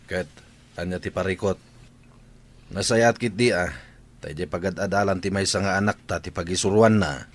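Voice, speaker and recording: A steady, reasoning husband played by a man in a radio drama, speaking with a somewhat low, even voice. He questions his wife patiently rather than arguing. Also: moderate pace, long pauses. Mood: questioning. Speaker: husband and father.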